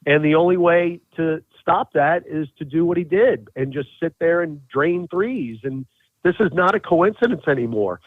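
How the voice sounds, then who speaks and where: A man's voice: a little high, lively intonation, moderate pace, dry recording. Phone-line man talking sports, emphatic. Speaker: radio play-by-play announcer, podcast guest. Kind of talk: casual sports analysis on a podcast. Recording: thin, narrow phone-like line.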